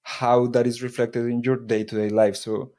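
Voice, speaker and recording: A man's voice: mid-range voice, flat delivery, moderate pace, mellow. A man hosting a podcast, welcoming his guest and often repeating words as he thinks aloud. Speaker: podcast host. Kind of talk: podcast interview.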